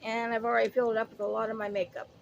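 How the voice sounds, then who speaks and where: Chatty lady late 50s doing a haul video, kinda high voice, rambles a bit, noisy background. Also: level tone, racing, vocal fry, highs cut off. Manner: chatty, rambling, self-interrupting. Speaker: haul vlogger. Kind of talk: casual product haul chat.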